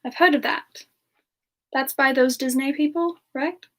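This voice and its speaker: A sweet, affectionate demon woman, played by a female streamer, with a high voice and an unhurried pace. She chats in a giggly, fond way, as in a semi-ASMR livestream. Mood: cheerful, fond. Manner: gently giggly, affectionate, calls listeners darling. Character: Frostbyte, sweet affectionate demon woman, internet slang novice.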